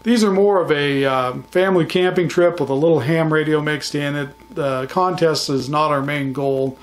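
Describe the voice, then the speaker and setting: A man's voice: on the high side, normal speed. A man giving a casual, folksy recap to viewers as a vlogger, in an easygoing, matter-of-fact way. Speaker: ham radio vlogger.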